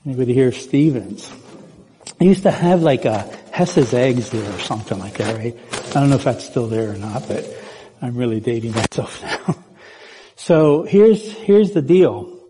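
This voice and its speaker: A man's voice: mid-range voice, expressive, moderate pace, warm tone, a little hiss or hum. A man born in Puerto Rico preaching as a guest speaker in a church, chatty and playful, putting questions to the congregation. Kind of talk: sermon. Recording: church hall with long reverb.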